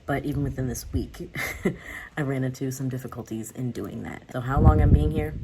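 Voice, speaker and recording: A woman's voice: a bass voice, steady tone, moderate pace, warm tone, a little hiss or hum, poor audio. A young woman from the United States talking candidly to her viewers in a casual vlog, rambling and often laughing. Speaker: vlogger. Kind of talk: casual talk to camera.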